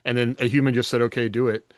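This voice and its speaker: A man's voice: mid-range voice, level tone, fast, dry recording. A man in a casual podcast panel discussion, explaining his thinking reflectively and often checking in with rhetorical questions.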